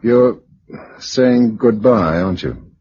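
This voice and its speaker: An actor playing a wry, courteous British survivor in a radio drama, with a dry, urbane, teasing delivery. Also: male voice, on the low side, takes it slowly, breathy edge. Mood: wistful, tender. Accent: British English. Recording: dull, noisy old radio recording.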